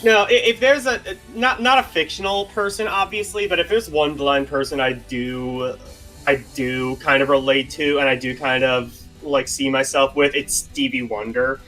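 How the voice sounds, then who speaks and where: Bright-voiced man, swearing and halting. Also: mid-range voice, fairly even intonation, faint music behind, rough recording. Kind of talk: casual chat.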